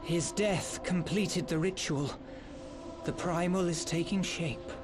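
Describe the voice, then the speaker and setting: An actor playing a proud, composed scholarly mage in a video game, with formal, self-assured delivery. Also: male voice, on the high side, slow, background noise. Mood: grave resolve. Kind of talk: dramatic video game cutscene acting.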